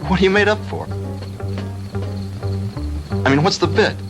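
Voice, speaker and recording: A young American actor playing a young man protecting his girlfriend in a low-budget 1960s film. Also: a little slow. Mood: nervous disbelief. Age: young adult. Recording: old film soundtrack with music.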